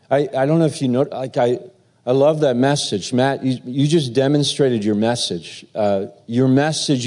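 A man preaching as pastor, halting with many fillers as he talks, then reading scripture aloud. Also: mid-range voice, darkish tone.

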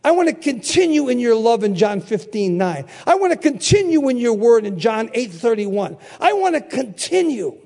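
A man's voice: extremely high pitch, sing-song, moderate pace, gravelly, few pauses, dark tone. An older man preaching a sermon, repeating key phrases and calling out questions for the congregation to answer. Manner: call-and-response, emphatic repetition.

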